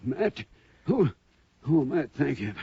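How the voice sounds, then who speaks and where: A gravelly, dazed townsman. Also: medium pitch, sing-song, mellow, dry recording, heavily acted. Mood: shaken. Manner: halting, shaken, stammering. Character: dazed, beaten male townsman, shaken and grateful. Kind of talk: 1950s radio drama acting. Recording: lo-fi 1950s radio recording.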